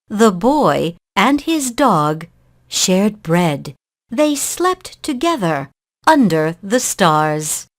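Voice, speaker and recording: A woman reading a children's story aloud in a measured, expressive narrating style. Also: fairly low pitch.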